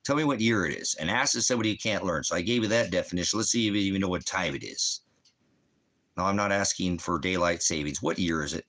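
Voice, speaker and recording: A man's voice: sits low, fast talker, a bit bright. A man hosting a rambling livestream Bible teaching, talking bluntly and challengingly to commenters and often answering his own questions. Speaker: ministry teacher and livestream host. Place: indoors at home by a fireplace.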